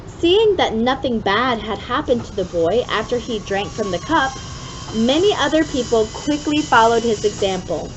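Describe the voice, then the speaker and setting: A woman reading a children's story aloud expressively, drawing out key words with deliberate emphasis. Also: a little high, lively intonation. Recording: dull lo-fi sound with clear background noise.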